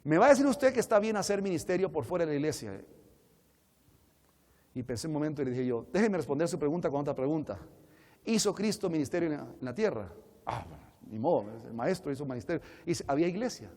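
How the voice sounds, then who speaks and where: A man's voice: on the high side, big pitch swings, quick pace, a little mellow. A man with a Colombian Spanish accent, teaching in a sermon style to an audience, conversational and full of rhetorical questions. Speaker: preacher and conference speaker. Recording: echoey hall with long reverb.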